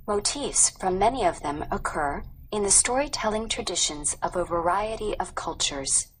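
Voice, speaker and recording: A woman narrates from a storybook script at a slow, careful pace. Her pitch is medium and her reading is measured, with faint ambient noise behind it. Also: low-quality recording. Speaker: audiobook narrator.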